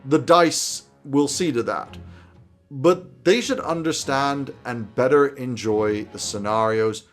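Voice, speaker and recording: A man's voice: mid-range voice, takes it slowly, soft background music. A man in his thirties giving conversational advice to camera in an emphatic, opinionated way, as a video host. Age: 30s. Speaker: host of tabletop roleplaying video channel. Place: small indoor home office.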